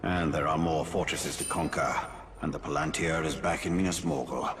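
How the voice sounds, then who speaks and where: An actor playing a grave, calculating companion in a video game, solemn and measured with a creaky voice. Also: male voice, a bass voice, steady tone, fairly bright tone, slight background noise. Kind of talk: video game character acting.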